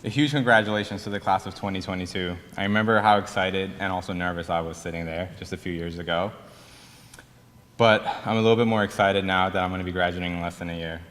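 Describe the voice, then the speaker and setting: A man's voice: low-pitched, steady tone, quick pace, a bit bright. A young man in his twenties with a Persian accent, the senior class president, telling his story in a slightly nervous, self-deprecating speech. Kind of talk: ceremony speech. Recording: reverberant hall.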